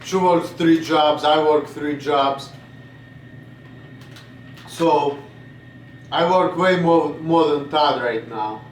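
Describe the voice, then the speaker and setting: A man's voice: fairly high pitch, slow, pauses often, roomy sound, far from the mic, a little hiss or hum, low-quality recording. A man in his late twenties from Russia, with a Russian accent, rambling bluntly in a casual vlog and swearing now and then. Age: 20s. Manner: blunt, swearing, drifts off topic. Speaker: vlogger.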